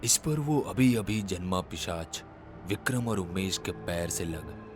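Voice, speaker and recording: A man telling a horror story as a scripted, dramatic narration, in a suspenseful and deliberate manner. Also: deep voice, lively intonation, over music.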